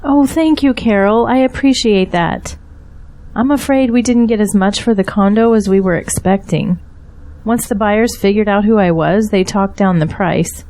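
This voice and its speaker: A weary but grateful mother played by an actress in an audio drama. Her voice is soft-edged and dark, and she talks with candid resignation. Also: mid-range voice, moderate pace, lightly acted. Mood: worried.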